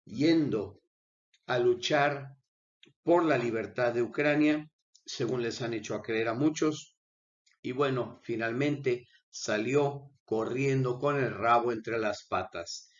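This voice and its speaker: An unwell man hosts his channel, talking viewers through a video in a casual commentary voice. He earnestly pleads with his audience at mid pitch. The sound is dull and lo-fi. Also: slow. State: unwell, with coughing fits. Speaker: channel host. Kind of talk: conversational commentary over a video.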